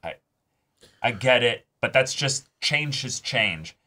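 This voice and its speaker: Guy co-hosting an anime discussion, bright forceful voice, kinda rambly and informal. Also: medium pitch, animated, slow. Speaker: co-host of an anime reaction show. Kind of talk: casual discussion of an anime episode.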